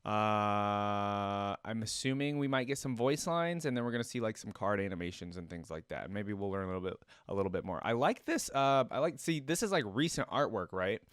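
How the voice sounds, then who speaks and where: A man's voice: fairly low pitch, animated, fairly quick, fairly bright tone, smooth, no room sound, studio quality. A man giving casual, informal reaction commentary and chatting to his viewers. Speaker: reaction video host. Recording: music behind the voice. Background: game trailer music.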